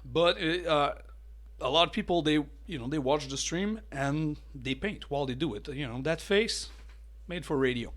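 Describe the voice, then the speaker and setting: Chatty mellow-voiced man rambling about hobbies. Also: on the high side, lively intonation, normal speed. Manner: rambling, chatty, lots of fillers and restarts. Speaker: miniature painting channel host. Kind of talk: casual talking-head hobby tips.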